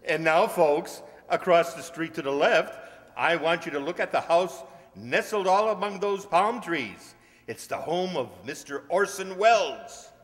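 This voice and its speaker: Showy bus tour guide played by an older man, high-voiced, drawn-out declamatory patter, radio comedy in an echoing hall. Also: animated. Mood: showy, announcing. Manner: declamatory, deliberate. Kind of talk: old-time radio comedy acting, read from script. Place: stage in a large hall. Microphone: stand microphone. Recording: echoey hall stage performance.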